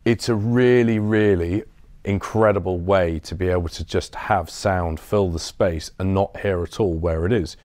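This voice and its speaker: A man in his thirties with a deep voice gives an informal, explanatory product tour. The sound is very dry, from an acoustically treated showroom. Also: fairly even intonation, a little slow, a little mellow, no room sound. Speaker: business development director giving a showroom tour.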